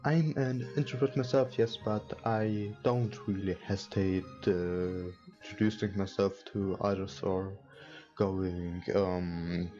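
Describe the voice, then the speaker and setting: A non-native English-speaking man hosting a let's play, reading game text aloud and commenting casually in a hesitant, halting way. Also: low voice, fairly even intonation, mellow. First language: not English. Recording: dull lo-fi mic, music behind. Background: game music.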